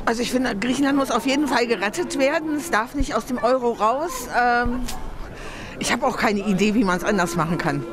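A woman's voice: on the high side, a little fast. Good-humored middle-aged woman. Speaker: market visitor. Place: Christmas market outdoors at night.

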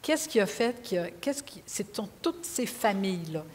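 A woman's voice: medium pitch, very animated, normal speed, darkish tone. A woman in her sixties with a Quebec French accent, speaking off the cuff as a panelist on an auditorium stage. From Quebec, Canada. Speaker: panelist, retired judge. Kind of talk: unscripted panel discussion. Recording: reverberant hall, noisy background.

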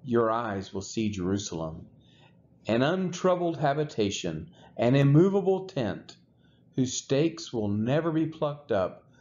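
A man reading scripture aloud in a measured, unhurried way, as the regular reader of a daily series. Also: mid-range voice, muffled recording, a little hiss or hum. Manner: measured, expressive rises and falls.

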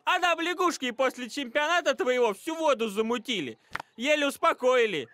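A man voicing a businesslike, grumbling beaver in an animated cartoon, with a matter-of-fact delivery. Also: extremely high pitch, normal speed, slight background noise, full character voice.

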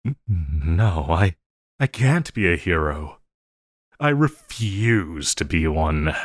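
A man acts out a lovestruck, obsessive villain in an intimate audio roleplay. His low voice swoops theatrically through a slow drawl, prone to giggles. He sounds playfully menacing, yet ready to plead like a devoted lover. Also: sing-song, no room sound, studio quality, full character voice. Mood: flustered.

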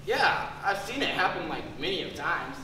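Young man acting slacker metalhead, stage comedy, high voice, slangy, far from mic, noisy hall. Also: very animated, moderate pace. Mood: confiding. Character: laid-back young male metalhead slacker working at a store. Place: school theater stage. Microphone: distant camera in the audience.

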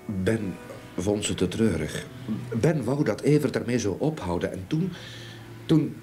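An actor playing a grieving, haunted older gentleman in a Dutch radio play, speaking in a halting, brooding way. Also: lowish voice, lively intonation, faint music behind. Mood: haunted, distraught. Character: grieving, haunted older gentleman seeking revenge for murdered niece. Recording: old radio play recording, background noise.

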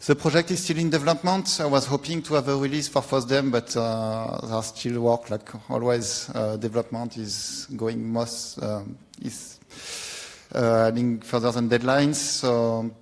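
A young man from France with a French accent, giving a technical conference talk in a lecture hall, pausing often with filler sounds. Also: mid-range voice, level tone. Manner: hesitant, many filler sounds. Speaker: conference speaker.

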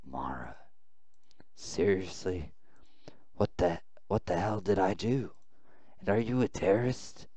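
A man reading a visual novel aloud as a weary, confused young male prisoner, subdued and halting. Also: low voice, big pitch swings, mellow, slightly rough, dry recording, full character voice. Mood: questioning, wary.

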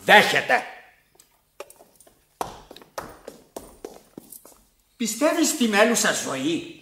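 High-voiced, resentful servant. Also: male voice, wildly swooping pitch, bright, forceful tone, full character voice. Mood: dry. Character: weary, resentful, put-upon servant in an absurdist play. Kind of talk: theatrical radio play acting.

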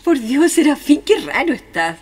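High-pitched, fussy lady pianist. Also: very animated, normal speed, big-room sound. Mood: fussy reproach. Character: cultured, reproachful lady pianist. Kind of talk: radio drama acting.

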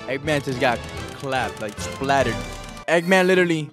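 A man hosting a gaming video with excitable, slangy, profane commentary, often repeating phrases and yelling. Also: medium pitch, moderate pace. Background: video game music and sound effects.

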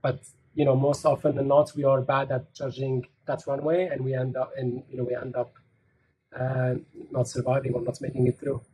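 A middle-aged man on a video call panel, speaking in a measured, thoughtful way with frequent fillers. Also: mid-range voice, completely flat delivery, a little mellow, a little hiss or hum, low-quality recording. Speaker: managing director panelist. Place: bedroom with curtains.

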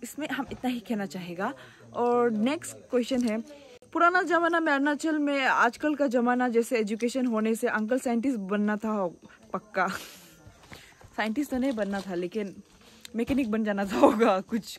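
A young woman from Arunachal Pradesh, chatting excitedly to her phone camera while vlogging around a hill village. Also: on the high side, moderate pace. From Arunachal Pradesh, India. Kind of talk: casual vlog narration.

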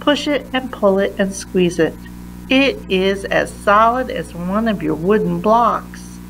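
A woman reads a picture book aloud for children at a slow, careful pace. She pauses between phrases, her voice sitting at a medium pitch. A faint hum of noise runs behind her. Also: rough recording. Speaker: read-aloud reader.

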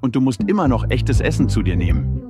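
A man's voice: medium pitch, big pitch swings, brisk, close to an everyday voice. A man voicing a worried father pleading with his daughter in a children's audio drama, with music underneath. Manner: concerned, earnest. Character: worried father of a teen girl.

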